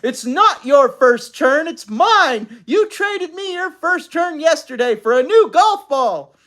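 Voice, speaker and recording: A storybook mother throwing a childish tantrum. The voice leaps very high and loud, whiny and shrieking, stretching words out in frantic complaint. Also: expressive, takes it slowly, heavily acted. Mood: furious.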